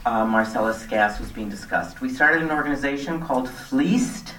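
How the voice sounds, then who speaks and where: A woman's voice: a bass voice, expressive, normal speed, a bit bright, slight background noise. A middle-aged woman speaking earnestly and plainly as a resident at a public meeting, partly off the cuff. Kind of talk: public comment speech, semi-extemporaneous. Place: community center meeting hall. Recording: echoey hall, distant microphone.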